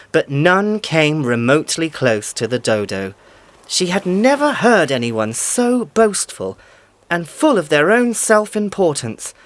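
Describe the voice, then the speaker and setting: A man's voice: mid-range voice, fairly bright tone. A man reading scripted storybook narration as the narrator.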